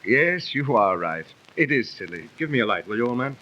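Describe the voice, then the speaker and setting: An actor playing a cautious, superstitious soldier in a theatrical 1930s radio drama. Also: male voice, medium pitch, very animated, fairly quick, pushed and bright. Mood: subdued. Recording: muffled, noisy old 1930s radio recording.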